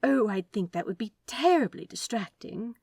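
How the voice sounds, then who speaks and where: A woman's voice: on the high side, animated, normal speed, creaky, darkish tone, husky, no room sound, studio quality, pitched above the performer's own voice, full character voice. A woman voicing a prim, lilting, earnest angel. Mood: earnestly puzzled. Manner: hesitant, polite, fussy phrasing. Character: prim, earnest, tentative male angel, curious and fretful.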